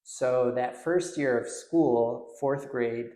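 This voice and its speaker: A man's voice: medium pitch, slow. Reflective Lebanese-born man, echoey room. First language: Arabic. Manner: relaxed, hesitant with many fillers and restarts. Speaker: podcast guest. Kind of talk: casual interview chat.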